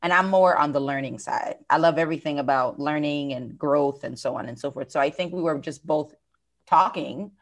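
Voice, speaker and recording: A woman's voice: deep voice, normal speed. An American woman business owner talking through her work in an interview, thoughtful, with many fillers and restarts. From United States. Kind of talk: conversational interview.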